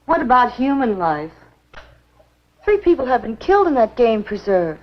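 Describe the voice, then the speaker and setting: A woman's voice: on the high side, very animated, normal speed. An actress playing an indignant, strong-willed young American woman in a 1950s horror film. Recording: muffled 1950s film soundtrack.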